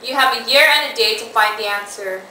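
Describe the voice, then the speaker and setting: High, bright, echoey bossy woman, acted by a student. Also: brisk. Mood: stern, commanding. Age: teenager. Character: queen and old hag wife, bossy, stern. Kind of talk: student puppet show acting. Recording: echoey distant amateur recording with hiss.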